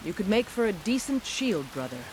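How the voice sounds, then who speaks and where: An actress voices a cocky fantasy-game warrior woman, brisk and appraising. Her pitch moves with lively swings. Clear hiss and rain sit behind her. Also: lightly acted, low-quality recording. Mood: proud, appraising. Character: proud female warrior of an honorable mercenary order. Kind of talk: fantasy video game acting. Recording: hissy game capture with rain.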